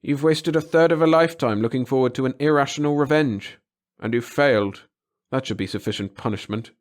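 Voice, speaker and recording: A commanding middle-aged male scientist as a narrator acts him out, obsessed and formal. His speech is insistent and lifted in pitch, in the manner of a dramatic audiobook. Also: brisk, close to an everyday voice. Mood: resigned. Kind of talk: dramatic audiobook character reading.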